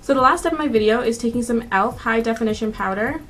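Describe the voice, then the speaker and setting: A woman's voice: on the high side, quick pace, a bit bright, slight background noise. A woman in her twenties narrating a makeup tutorial in a casual, informal, conversational way as a beauty vlogger. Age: 20s. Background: background music.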